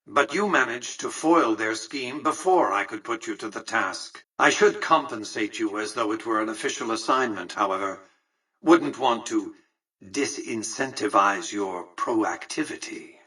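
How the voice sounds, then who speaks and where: An imperious elderly tycoon from a video game. His voice is forceful and bright with a husky grain, set slightly away from the mic. He speaks formally and precisely, with cold, condescending superiority. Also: mid-range voice, normal speed, recorded from a distance, rough recording. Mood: dry, measured. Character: cold, imperious old male tycoon ruling a casino city. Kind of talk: scripted video game character dialogue.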